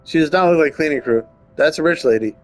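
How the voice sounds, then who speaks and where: A man with a fairly high voice reads story narration aloud for a visual novel playthrough. He slips into casual, wry, nitpicking asides, with music playing behind him. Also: fast talker. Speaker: let's player reading a visual novel aloud. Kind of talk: reading narration aloud with casual riffing commentary.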